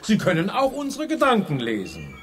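Wildly swooping, high-voiced mad genius villain. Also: male voice, huge pitch swings, moderate pace, a bit bright, a little hiss or hum, full character voice. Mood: gloating, menacing. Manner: mock-courteous, theatrical, gloating. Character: gloating, mad male genius villain with a pistol. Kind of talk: 1980s German children's sci-fi radio drama acting.

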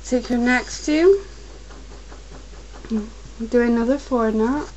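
Young woman tutor, getting a cold, calm and repetitive, recorded on a computer mic at her desk. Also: a little high, a little hiss or hum, rough recording. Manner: patient, repetitive instructions, slow and careful at times. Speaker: crafts tutorial maker. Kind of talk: step-by-step craft instruction.

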